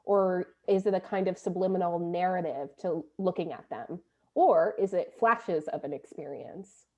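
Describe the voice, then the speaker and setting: A woman's voice: on the low side, normal speed, warm tone. A woman moderating an informal arts discussion with casual, conversational questions. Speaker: moderator, play director.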